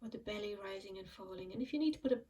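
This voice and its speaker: A woman leads a calm mindfulness meditation in a mid-range voice. She speaks softly and slowly, letting long pauses hang between phrases. Her voice sits a little back from the microphone. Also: medium pitch, recorded from a distance. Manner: slow, soft and soothing, with frequent pauses. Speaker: meditation teacher.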